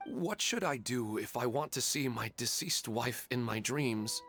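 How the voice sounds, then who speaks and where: A man's voice: medium pitch, expressive, a little slow, a bit bright, soft background music. A man voicing a grieving, hesitant widowed father in a video game, speaking in a sad, subdued way. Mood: sorrowful.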